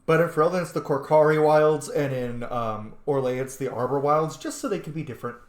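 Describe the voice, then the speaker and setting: A man's voice: fairly high pitch, fairly quick, roomy sound. A man giving casual, chatty, opinionated playthrough commentary as a gaming video host. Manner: chatty, opinionated fan talk with swearing.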